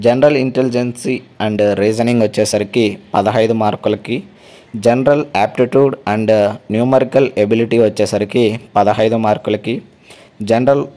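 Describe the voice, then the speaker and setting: A man's voice: mid-range voice, mellow. A man hosting an informal explainer video, addressing viewers directly and politely. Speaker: host of an exam information channel.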